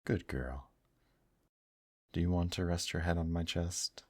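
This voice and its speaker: A man playing a gentle, protective male demon in an intimate ASMR roleplay, speaking softly, tenderly and reassuringly to the listener. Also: low voice, steady tone, dry recording, clean studio sound. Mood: soothing, tender. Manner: soft, tender, reassuring, unhurried.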